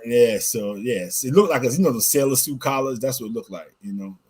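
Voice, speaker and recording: Husky, easygoing man rambling casually. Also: mid-range voice, animated, brisk, darkish tone. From born in Jamaica, raised in the US. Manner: loose and rambling, heavy use of "you know" and "right?". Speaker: podcast co-host being interviewed. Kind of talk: casual conversational interview.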